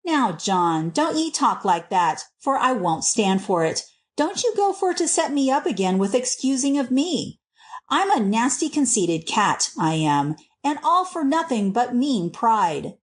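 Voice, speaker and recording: Female reader as proud young working girl, humbled, emphatic, rustic speech, high animated voice, storybook. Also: unhurried. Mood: scolding herself. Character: proud young working-class woman, humbled and self-blaming.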